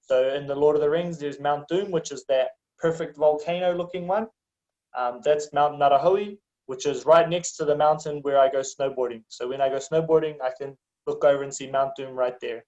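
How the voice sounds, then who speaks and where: A young man from New Zealand with a New Zealand accent, chatting casually as an interview guest, relaxed and quick to laugh, with plenty of ums. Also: fairly high pitch. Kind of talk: casual conversation. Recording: dull lo-fi audio.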